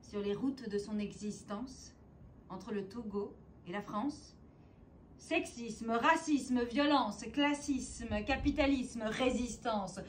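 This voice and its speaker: A woman with a fairly high voice delivers a theatrical spoken-word poem. She declaims very slowly, placing dramatic pauses and emphasis between phrases. Faint ambient noise sits behind her. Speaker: poet and stage performer.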